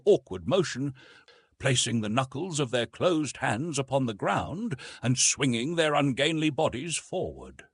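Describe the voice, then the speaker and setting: Man, audiobook narration, dramatic and measured, lively intonation, dry studio-like sound. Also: mid-range voice, husky, no room sound. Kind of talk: scripted literary narration, adventure storytelling.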